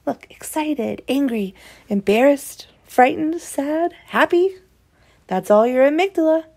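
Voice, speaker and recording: A woman's voice: high voice, expressive, measured pace, a little mellow. A woman reading a children's picture book aloud, explaining it expressively to young listeners. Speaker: read-aloud presenter.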